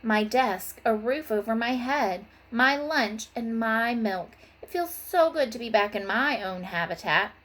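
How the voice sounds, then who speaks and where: A woman's voice: on the high side, expressive, unhurried, hardly any pauses, fairly bright tone. A woman reading a storybook aloud as a cautious schoolboy who likes his comforts. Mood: relieved. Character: Arnold, cautious homebody schoolboy.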